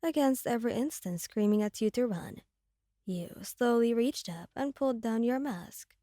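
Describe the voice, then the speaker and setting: A woman's voice: a little high, animated, normal speed, warm tone, no echo, clean studio sound. A woman reading scripted dramatic narration as the storyteller of an ASMR audio roleplay.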